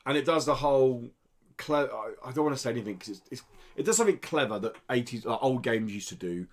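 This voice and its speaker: A man's voice: mid-range voice, animated, quick pace. A man co-hosting a casual gaming podcast, talking in an enthusiastic, rambling, informal way. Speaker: podcast co-host.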